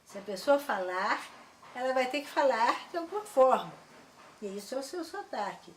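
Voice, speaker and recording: A woman's voice: sits high, sing-song, moderate pace. An older woman with a Brazilian Portuguese accent, a retired linguistics professor, explaining emphatically in an interview. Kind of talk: interview answer. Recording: roomy interview sound with faint noise.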